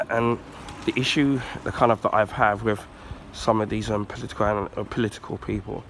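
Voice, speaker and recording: A man from the UK, husky and bunged up from a cold, rambling through a casual rant. His voice sits a bit low, he clears his throat often, and faint street traffic hums behind him. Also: fairly even intonation, fairly quick, fairly bright tone. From United Kingdom. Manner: rambling, many ums and restarts, frequent throat clearing. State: husky, recovering from flu, blocked nose. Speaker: YouTuber.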